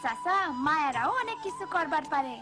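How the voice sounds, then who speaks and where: A woman voicing a bright, curious little village girl in a cartoon, speaking with a Bangladeshi accent in a lively, inquisitive way. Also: high-pitched, a little fast, music in the background. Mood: happy. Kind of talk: cartoon voice acting.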